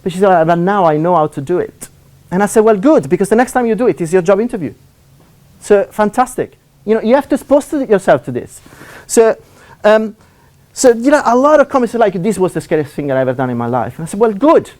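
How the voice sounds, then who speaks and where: Man, Italian accent, university lecturer, fast and animated, frequent fillers and restarts. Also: high voice. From Italy. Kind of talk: seminar talk on assessment.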